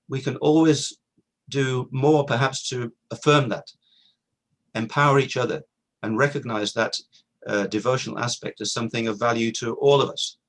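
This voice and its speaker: A middle-aged man with an average-pitched voice speaks at an online gathering. He is reflective and earnest, and he circles back to his points to underline them. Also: fairly bright tone. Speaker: guest speaker. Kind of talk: informal talk at a virtual community event.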